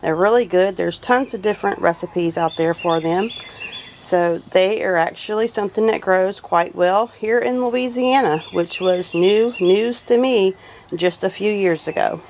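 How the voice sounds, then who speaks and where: A woman's voice: medium pitch, measured pace, darkish tone, slight background noise. Folksy Southern woman, outdoors with birdsong. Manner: folksy, chatty. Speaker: homesteading vlogger. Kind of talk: casual garden tour narration. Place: outdoors in a home garden. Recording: outdoor ambience with animal noises. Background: birdsong and farm animal sounds.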